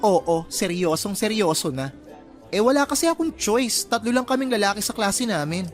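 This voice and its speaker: A man's voice: sits high, expressive, moderate pace, faint music behind. A man playing a flamboyant, sassy college theater student in a radio drama, with a campy, playful, swooping delivery. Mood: boastful, sassy. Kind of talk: Filipino radio drama acting.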